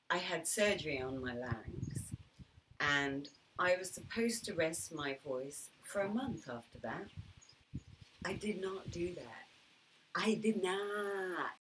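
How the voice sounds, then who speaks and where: A woman's voice: on the low side, a bit bright, recorded from a distance, a little hiss or hum, low-quality recording. A middle-aged woman vlogging in a chatty, reflective monologue, laughing often and dropping into whispered asides. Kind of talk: reflective casual monologue to camera.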